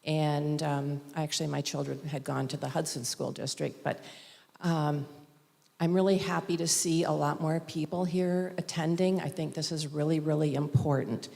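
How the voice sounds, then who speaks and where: A woman giving a public comment at a school board meeting, halting with fillers and restarts, speaking into a podium microphone. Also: low-pitched, fairly even intonation, gravelly, warm tone. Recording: long reverb in a large hall.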